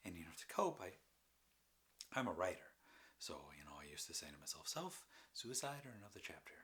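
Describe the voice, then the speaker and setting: A man performing a halting, rambling confessional monologue as an anguished, troubled man, with sighs and bitter emphasis. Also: low-pitched, very animated, a bit bright. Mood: weary, despairing. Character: anguished, mentally ill man confessing and defending his drinking. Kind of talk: dramatic stage monologue, confessional.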